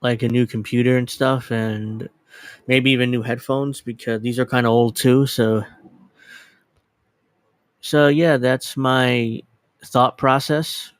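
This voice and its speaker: Casual streamer, man, late 30s, mid-pitched, rambly and self-correcting, dry close desk mic. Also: steady tone, takes it slowly, pauses often, clean tone, dry recording. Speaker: livestream host. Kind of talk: casual livestream chat. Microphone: desk USB microphone.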